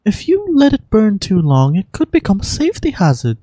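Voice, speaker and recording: A performer voicing a gracious, protective female game guardian with a breathy British accent and a polite, reassuring manner. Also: mid-range voice, wildly swooping pitch, a little fast, dark tone, a little hiss or hum, pitched above the performer's own voice. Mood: polite, concerned.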